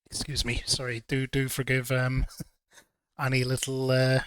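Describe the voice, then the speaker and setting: A man reviewing whiskey in a relaxed, hesitant way, a little throaty from a cold. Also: mid-range voice, a little slow, smooth, no echo. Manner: relaxed, hesitant with frequent ums. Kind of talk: casual video review.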